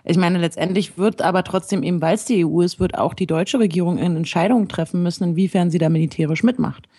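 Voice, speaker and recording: A woman with a husky, rather low voice. She rambles and searches for her words, plain and frank in a casual discussion. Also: quick pace, mellow.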